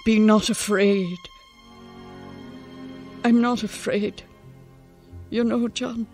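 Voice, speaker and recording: An actress playing a frail, devoted elderly wife in a radio drama, speaking tenderly and haltingly. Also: female voice, medium pitch, fairly even intonation, a little mellow, soft background music. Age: older. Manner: slow, tender, halting delivery.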